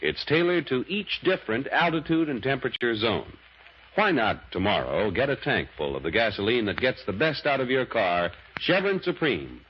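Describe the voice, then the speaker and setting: Vintage radio pitchman: male, husky edge, animated emphatic reading, slightly muffled period sound. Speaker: radio announcer. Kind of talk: 1940s radio announcing and ad reading. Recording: dull 1940s radio recording.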